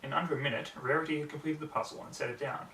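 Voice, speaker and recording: A man's voice: a little high, steady tone, racing, sharp tone. A man reading a scripted story aloud as its narrator. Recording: roomy, distant mic, faint noise.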